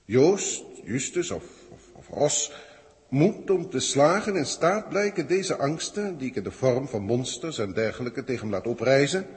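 An actor playing a pompous, pedantic alien senior scientist with stilted formal diction in a 1960s science-fiction radio play. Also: male voice, mid-range voice, expressive, moderate pace, natural voice. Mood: grave, dissatisfied. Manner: stilted, elevated, officialese diction. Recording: 1960s radio recording, long cavernous reverb.

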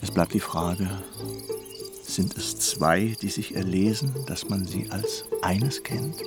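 An actor playing a grand, playful old privy councillor and poet in a German radio play, musing and theatrical. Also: male voice, sits low, takes it slowly, over loud music. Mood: wistful, tender. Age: older. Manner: theatrical, musing, swinging from exclamations to murmurs.

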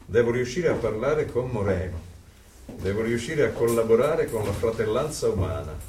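An actor playing a troubled, brooding dissident thinking aloud in a solemn, intense radio play monologue. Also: male voice, mid-range voice, very animated, moderate pace, darkish tone, close to an everyday voice. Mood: anguished resolve. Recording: echoey, distant, faint noise.

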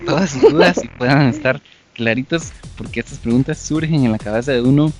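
A man's voice: mid-range voice, animated, brisk, a little mellow, faint music behind. Enthusiastic long-winded male podcast host. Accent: Guatemalan Spanish. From Guatemala. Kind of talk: casual podcast interview.

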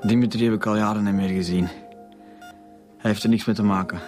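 An actor with a Flemish accent playing a weak, distressed and defensive young hospital patient in a radio drama. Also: male voice, lowish voice, fairly even intonation, a little fast, over music, lightly acted.

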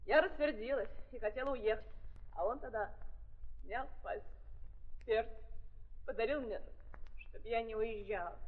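An actress playing a wronged young woman on trial in a 1930s stage drama, plaintive and defiant, speaking in simple halting phrases. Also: on the high side, lightly acted. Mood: sullen. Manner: simple, halting, emotional testimony. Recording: muffled, echoing 1930s archive recording.

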